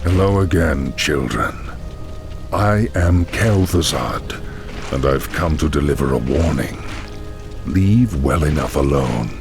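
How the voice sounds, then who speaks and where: An actor playing a sinister, taunting necromancer cult leader in a fantasy video game, theatrical and ominous. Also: male voice, a bass voice, expressive, slow, gravelly, over music, heavily acted. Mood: menacing.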